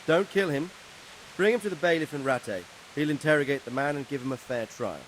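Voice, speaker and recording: A man's voice: a little high, brisk, slight background noise, natural voice, low-quality recording. An actor playing a middle-aged official in a game, formal and considered as he advises a younger man. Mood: calm, firm. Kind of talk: video game cutscene acting.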